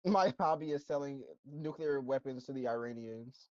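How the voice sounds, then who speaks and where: A man's voice: mid-range voice, moderate pace, no room sound. Slangy joking man, muffled dry audio. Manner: slangy, joking, laughs often. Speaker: co-host of a reaction channel. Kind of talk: casual reaction chat.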